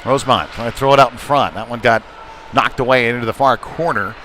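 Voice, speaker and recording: A man commentates a live hockey game with clear noise from the rink behind him. His delivery is conversational, as if thinking aloud while following the play. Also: medium pitch, brisk, bright, forceful tone. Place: indoor ice rink. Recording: noisy live rink broadcast.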